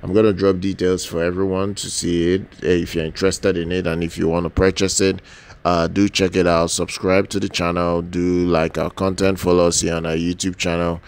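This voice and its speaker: A man's voice: low voice, level tone, fairly quick, smooth. A man presenting product news casually as a channel host, speaking hesitantly and often repeating words and phrases.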